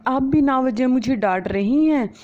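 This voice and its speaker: A woman's voice: sits high, a little slow, a little hiss or hum, voice pushed up. A woman voicing a cheeky, blunt young daughter-in-law who blurts out everything, in a storybook. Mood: innocently blunt. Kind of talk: storybook voice acting. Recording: slightly dull lo-fi recording.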